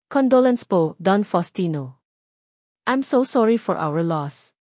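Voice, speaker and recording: A woman's voice: mid-range voice, expressive, takes it slowly, a little mellow, dry recording, studio quality. Woman voicing cold, emotionless young fiancé. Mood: cold politeness. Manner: cold, formal.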